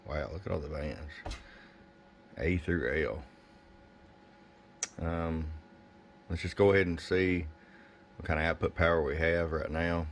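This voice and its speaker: A man's voice: extremely low pitch, fairly even intonation, unhurried, pauses often. A man giving a casual bench review of gear, plain-spoken and thinking aloud as he goes. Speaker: product reviewer. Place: indoor electronics workbench. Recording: faint background noise.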